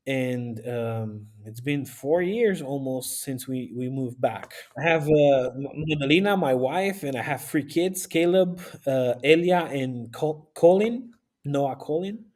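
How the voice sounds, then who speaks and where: A man with a Romanian accent answers interview questions in a casual, conversational way. His voice sits at a medium pitch, and his phrasing is halting, with pauses as he looks for words. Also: expressive, a little mellow. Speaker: interview guest, youth pastor and aid volunteer.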